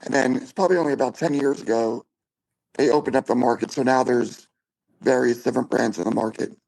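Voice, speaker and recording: A man's voice: mid-range voice, a little fast, vocal fry, a little mellow, low-quality recording. A man answering interview questions briefly and plainly. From Finland. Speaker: guest, drinks company founder. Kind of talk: casual interview talk.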